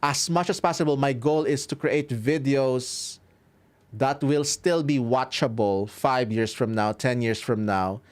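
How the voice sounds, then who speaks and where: A man's voice: medium pitch, a little slow. A man from the Philippines with a Filipino accent, chatting casually to his livestream audience as he wraps up, upbeat and chuckling often. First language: Filipino language. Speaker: streamer and violinist. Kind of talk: casual livestream sign-off to chat.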